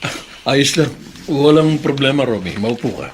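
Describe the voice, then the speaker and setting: A man's voice: medium pitch, lively intonation, moderate pace, slight background noise, natural voice. A man playing a wealthy, polite but worried husband and father in a radio drama. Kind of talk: Filipino radio drama acting.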